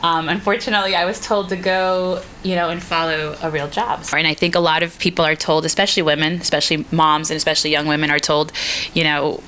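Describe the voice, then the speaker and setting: Candid podcast guest, woman with a lowish, sharp, bright tone who tells her story frankly. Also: little pitch movement, quick pace, slight background noise. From born in Guatemala, raised in Houston and New Jersey. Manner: candid, laughing often, swears casually. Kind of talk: casual storytelling interview. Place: recording studio.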